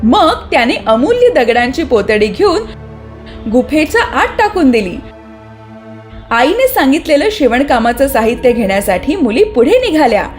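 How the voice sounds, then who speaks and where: High-pitched animated woman storyteller. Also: normal speed, soft background music. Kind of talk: scripted children's story narration.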